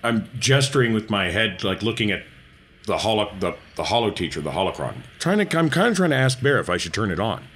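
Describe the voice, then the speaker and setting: A man's voice: on the low side, big pitch swings, brisk, a bit bright. A man talking casually as a player in a tabletop game, planning his moves. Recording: faint noise behind voice.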